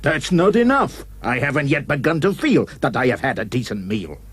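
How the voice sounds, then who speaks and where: A man voicing a greedy, menacing wolf villain in a storybook puppet show, with theatrical, gloating, drawn-out taunts. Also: mid-range voice, big pitch swings, husky, no room sound, pitched above the performer's own voice. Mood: indignant, hungry.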